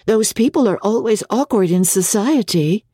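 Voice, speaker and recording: A woman's voice: mid-range voice, expressive, normal speed, mellow, husky, dry recording, studio quality, pitched above the performer's own voice. A woman voicing a young society wife, cool, snobbish and naive, in a dramatic audiobook reading. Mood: cool disdain. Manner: cool, genteel, dismissive.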